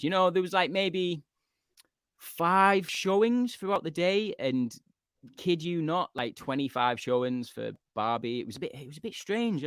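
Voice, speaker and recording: A man hosting a live film podcast, chatting casually with lots of fillers and lively storytelling. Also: a little high, no room sound, clean studio sound. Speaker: podcast host. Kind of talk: casual chat on a live stream.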